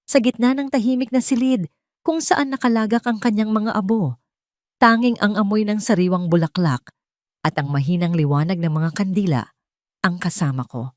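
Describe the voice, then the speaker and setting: A woman's voice: mid-range voice, moderate pace, no room sound, clean studio sound. A woman telling a scripted dramatic story in the first person as narrator.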